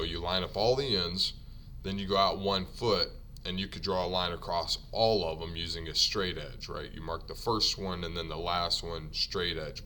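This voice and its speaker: A low-pitched man with a bright, forward tone works through a vocabulary lesson at an unhurried pace, repeating each trade term slowly for learners before explaining it. A faint hum sits under the recording. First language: English. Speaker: ironworker union instructor. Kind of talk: slide-based vocabulary lesson.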